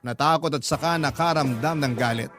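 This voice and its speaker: A man's voice: a little high, fairly quick, fairly bright tone, soft background music. A man narrating a scripted first-person love story as a radio storyteller, in a dramatic style. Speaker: radio storyteller reading a listener's letter.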